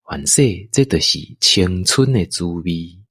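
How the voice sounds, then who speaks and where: A man with a Taiwanese accent narrating a scripted audio drama in an unhurried, expressive storytelling manner. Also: low voice, breathy edge, no room sound, studio quality.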